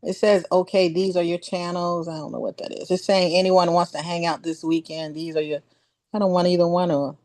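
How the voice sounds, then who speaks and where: Someone on a video call lost in the app, reading the screen out loud, dry close sound. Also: medium pitch, little pitch movement, brisk, dry recording. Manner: confused, reads screen prompts aloud. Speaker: meeting participant. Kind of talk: casual chat. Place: online video meeting.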